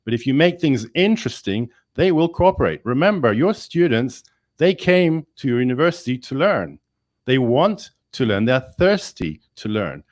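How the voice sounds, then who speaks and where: A male engineering professor speaking in a webinar discussion, energetic and emphatic, with many restarts. English is not his first language. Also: mid-range voice, moderate pace, no echo, studio quality.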